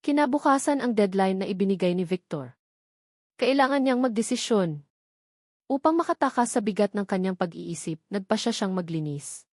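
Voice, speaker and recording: A woman narrates a scripted love story in a dramatic storytelling style. Her voice sits at a middle pitch. The sound is very dry, with no audible room. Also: lively intonation, normal speed, a little mellow, no room sound.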